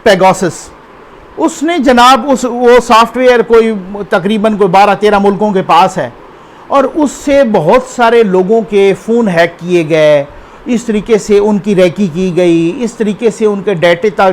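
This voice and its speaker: Male news commentator, Pakistani accent, high voice, conversational and unscripted, quizzes the viewer. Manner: conversational, addresses the viewer with rhetorical questions and check-ins.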